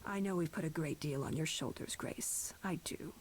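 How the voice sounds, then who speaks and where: A woman's voice: sits low, whispered, natural voice. Woman acting a solemn, stern goddess in command. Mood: grave, restrained. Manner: measured, formal, deliberate. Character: solemn, stern, weary goddess who leads the gods. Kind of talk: video game voice acting.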